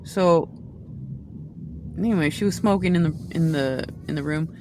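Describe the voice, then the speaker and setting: A woman's voice: deep voice, normal speed, background noise. Chatty rambling woman reading tarot. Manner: chatty, many restarts and asides; says she has a loud voice. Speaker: tarot reader. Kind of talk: casual rambling tarot chat.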